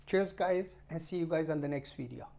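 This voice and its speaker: A woman's voice: low voice, fairly quick, gravelly, noisy background. A woman who is a non-native English speaker, ranting casually to camera as a travel vlogger in an emphatic, repetitive, exasperated way. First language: not English. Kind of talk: casual rambling rant to camera.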